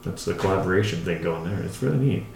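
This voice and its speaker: A man with a very low voice talks casually as a writer on a podcast. He is enthusiastic and rambles conversationally, and the room around him rings with echo. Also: animated, fast talker, gravelly, darkish tone, a little hiss or hum. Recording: echoey untreated room.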